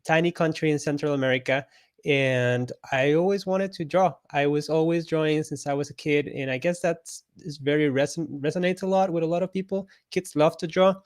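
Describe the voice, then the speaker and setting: A man's voice: on the high side, brisk, no echo, studio quality. A man from Costa Rica, a guest on an interview show, telling his story in a friendly, reflective way and laughing often. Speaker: guest, illustrator and artist coach.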